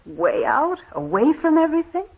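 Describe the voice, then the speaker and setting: Earnest, anxious young-era radio wife, soft-hearted, 1930s drama, thin phone-like sound. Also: female voice, high-pitched, big pitch swings, normal speed. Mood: uneasy. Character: gentle, anxious wife and caring sister. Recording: tinny, crackly 1930s radio recording.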